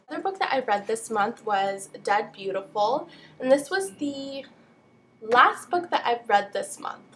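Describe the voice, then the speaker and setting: Young woman, higher voice, congested and nasal from a cold, casual book-review chat. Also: slight background noise. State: stuffed up with a cold. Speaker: book vlogger.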